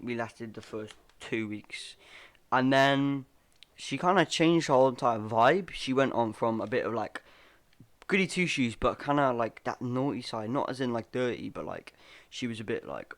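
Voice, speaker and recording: A man's voice: mid-range voice, lively intonation, normal speed. A young man hosting a casual podcast chat, rambling and laughing as he talks, full of "bro" and "like". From Wales. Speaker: podcast host.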